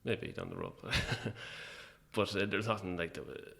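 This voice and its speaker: A man's voice: low-pitched, wildly swooping pitch, measured pace, vocal fry, fairly bright tone. A man with an Irish accent talking casually as an interview guest, hesitant and dryly self-deprecating.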